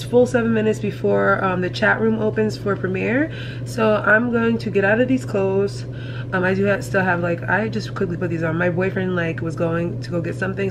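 Woman, 30s, stuffy nasal voice, rambling casual vlog chat, small kitchen, camera mic, noisy background. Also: medium pitch. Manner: chatty, rambling, addresses viewers as guys. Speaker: vlogger. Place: small apartment kitchen, indoors.

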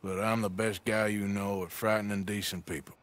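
An actor playing a rough American outlaw gunslinger in a video game, dry and sarcastic with understated menace. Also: male voice, lowish voice, little pitch movement, a little fast, fairly bright tone, lightly acted. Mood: wry, wistful. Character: rough, sardonic, long-haired bearded outlaw gunslinger. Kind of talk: video game cutscene dialogue.

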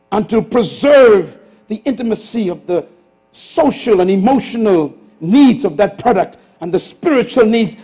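Animated Bahamian preacher man in his sixties. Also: sits high, husky. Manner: lively preacher, shifts from loud bursts to hushed asides. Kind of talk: sermon. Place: large church auditorium stage with audience.

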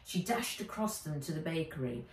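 A middle-aged woman, an author reading aloud from a children's storybook in an expressive narrating style. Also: low-pitched, normal speed, slight background noise. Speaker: author reading own book. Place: living room or sunroom, indoors. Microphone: camera or phone a few feet away. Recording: roomy, distant home recording.

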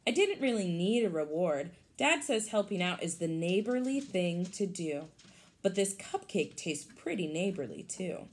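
A woman's voice: on the low side, sing-song, unhurried, darkish tone. A woman in her thirties reading a picture book aloud to young children like a teacher, expressively, with casual explanatory asides. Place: small room at home, indoors. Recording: home recording with clear background noise.

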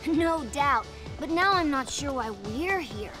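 A woman's voice: sits high, expressive, a little slow. A woman voicing a brainy, skeptical young boy sidekick, earnest and a little doubting, in a game cutscene. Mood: doubtful. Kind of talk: animated game cutscene acting. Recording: music behind.

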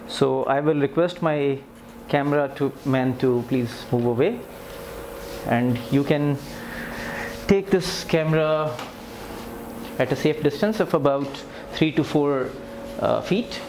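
Man, thirties, somewhat high voice, plain instructional explaining, demonstration style, faint background noise. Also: takes it slowly. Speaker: physiotherapy instructor demonstrating equipment. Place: indoor clinic treatment room with tiled floor. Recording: clear background noise, camera in clinic room.

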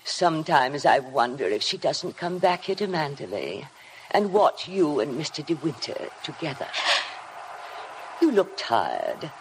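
An actress playing a sinister, obsessive housekeeper in a 1950s radio drama, formal, insinuating and hypnotic in manner. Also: female voice, sits low, big pitch swings, measured pace, heavily acted, rough recording. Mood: eerie, insinuating. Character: sinister, obsessive housekeeper, coldly devoted to her dead mistress. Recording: vintage 1950 radio broadcast, faint noise.

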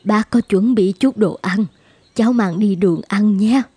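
A woman voicing a cautious but kindly middle-aged village woman in a cartoon, measured and plain-spoken. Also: mid-range voice, dark tone, breathy edge, dry recording, close to an everyday voice. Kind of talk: animated film dubbing. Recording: music behind the voice.

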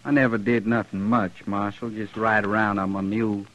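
An actor playing a simple, meek little drifter, plain and humble, in a 1950s radio western. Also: male voice, on the low side, little pitch movement, moderate pace, fairly bright tone, natural voice. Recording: muffled old radio recording.

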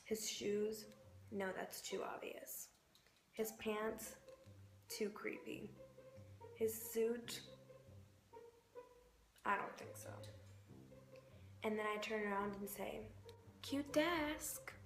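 A young woman giving a dramatic comic reading, sarcastic and deliberate, with pauses for effect. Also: mid-range voice. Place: bright bedroom indoors. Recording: roomy echo. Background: clear music.

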